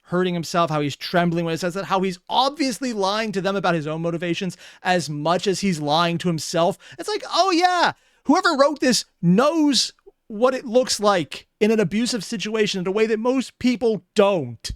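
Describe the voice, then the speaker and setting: Smooth-voiced man, slightly high pitch, casual reaction commentary, rambling, dry close sound. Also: expressive, normal speed, dry recording. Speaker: reaction video host.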